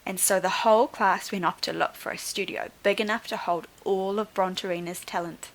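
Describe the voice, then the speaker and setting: A woman teacher reading a storybook aloud to young children in an expressive, instructive way. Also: medium pitch, fairly quick, rough recording. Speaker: primary school teacher.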